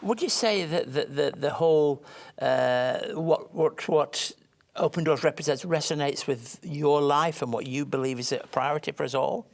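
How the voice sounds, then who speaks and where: A man hosts a talk show, his voice a touch high and clearly gravelly. He asks his questions a little hesitantly and fills the pauses with murmurs of agreement. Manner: hesitant, with frequent backchannel murmurs. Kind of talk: interviewing a guest.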